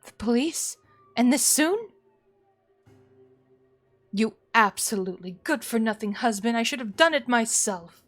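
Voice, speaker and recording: A woman's voice: on the high side, expressive, measured pace, pauses often, no echo, soft background music. A woman as a maniacal, sweetly menacing mother. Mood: angry, scornful. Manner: theatrical, sweetly coaxing turning threatening. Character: maniacal doting mother, cloyingly cheerful and menacing.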